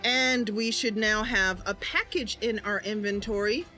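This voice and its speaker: A woman's voice: medium pitch, fairly even intonation, a little slow, pushed and bright, soft background music. A woman streamer casually narrating a game roleplay.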